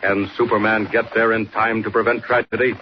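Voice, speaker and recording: A man's voice: fairly low pitch, flat delivery, a little fast. Dramatic male announcer, noisy vintage radio. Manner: dramatic, declamatory. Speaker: announcer and narrator. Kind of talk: 1940s radio serial narration, scripted. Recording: muffled, noisy 1940s radio recording.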